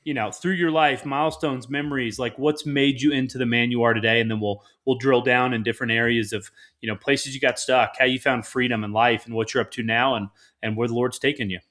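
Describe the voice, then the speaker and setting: A man's voice: medium pitch, fairly even intonation, a little fast, fairly bright tone. Male podcast host, mid-range voice, chatting casually and jovially with a guest, happy to poke fun at himself. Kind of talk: casual conversational interview.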